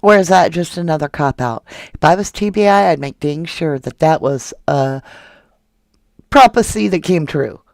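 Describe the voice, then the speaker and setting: A woman's voice: low voice, expressive, moderate pace, creaky, darkish tone, no room sound. An indignant woman hosting a true crime stream, reading viewer comments and firing rhetorical questions. Her voice is close and dry, with no room sound around it. She talks casually and keeps pressing her point. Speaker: true crime channel host.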